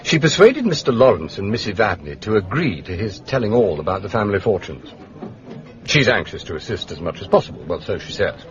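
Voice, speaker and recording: An actor playing an urbane, shrewd gentleman detective in a British radio drama, with an upper-class English accent and polished, drily understated manner. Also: fairly low pitch, very animated, brisk. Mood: dryly curious. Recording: muffled, dull radio drama recording.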